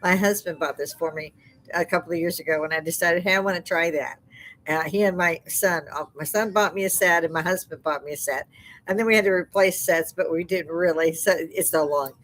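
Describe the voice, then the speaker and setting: An older woman hosting a casual live stream. She has a loud speaking voice and talks in a loose, chatty way, laughing often. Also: on the low side, steady tone, a little fast, low-quality recording. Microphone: desk microphone.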